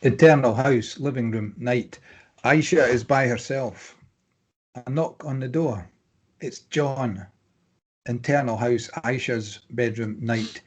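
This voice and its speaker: A man with a husky voice reading stage directions as scripted narration at a table read. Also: mid-range voice, slow pace.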